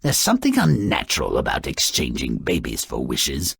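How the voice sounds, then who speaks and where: An actor playing a small, malicious, sardonic storybook grouch in a video game, raspy and gravelly, narrating dark fairy tales with theatrical, sneering mockery. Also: male voice, a bass voice, huge pitch swings, normal speed, dry recording, studio quality, full character voice. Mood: sardonic storytelling. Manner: theatrical, sneering, mocking, ornate vocabulary.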